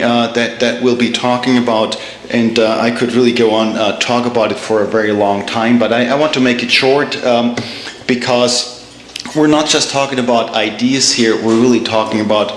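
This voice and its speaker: A man's voice: medium pitch, a bit bright, slight background noise. German-accented man lecturing in an echoey room. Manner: measured, frequent uh and um fillers, repeats words. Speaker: guest speaker, theologian. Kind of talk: public lecture. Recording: echoey room, faint ambience.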